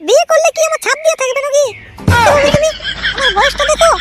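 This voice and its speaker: A man's voice: very high voice, fast talker, over music. A man voicing a hot-tempered middle-aged village housewife in a comic cartoon, with a rural West Bengal accent and an electronically raised voice. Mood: angry, scolding. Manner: scolding, challenging.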